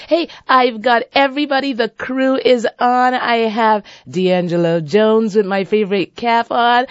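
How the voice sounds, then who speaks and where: A woman hosting a radio talk show in a husky voice, chatty and playful, talking straight to her listeners. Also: a little high, no echo, lo-fi sound. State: husky voice from a seasonal cold. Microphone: studio microphone.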